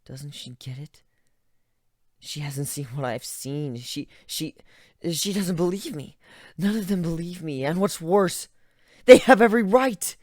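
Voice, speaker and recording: A reader voicing a traumatized, frightened and angry griffin in a dramatic story reading, halting and overwrought. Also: medium pitch, expressive, dry recording, studio quality. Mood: desperate. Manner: overwrought, halting, swinging from hushed to shouting. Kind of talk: dramatic reading of fan fiction.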